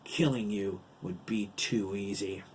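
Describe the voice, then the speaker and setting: A huge, menacing giant played by a man gloats and taunts in a storybook tale. The delivery is sneering and unhurried, with faint noise underneath. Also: on the low side, lightly acted. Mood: gloating menace.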